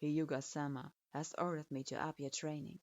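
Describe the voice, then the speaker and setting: A woman's voice: deep voice, level tone, normal speed, darkish tone, no echo, voice pushed down, natural voice. A woman voicing a harsh male ninja instructor who speaks coldly and with derision, recorded with a dull lo-fi sound. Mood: stern. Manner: cold, derisive, stern.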